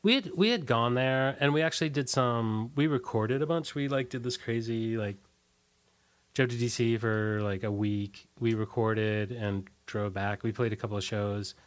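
A man being interviewed in a casual, rambling way, halting and often restarting his sentences. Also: mid-range voice. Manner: rambling, halting, many false starts. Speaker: interview guest, punk band singer. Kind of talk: casual conversational interview, recollecting.

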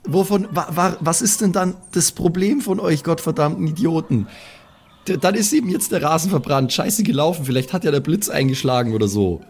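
A man's voice: on the high side, lively intonation, a little fast, a little mellow, breathy edge. A man role-playing in an improvised game, talking in a brash, irritable, sweary way. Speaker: player in a pen and paper audio show. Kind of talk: improvised in-character role play.

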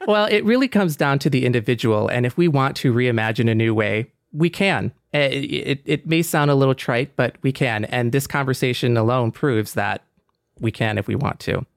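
Measured man, dry close sound. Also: mid-range voice, animated, no room sound. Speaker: podcast co-host. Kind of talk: thoughtful conversational discussion.

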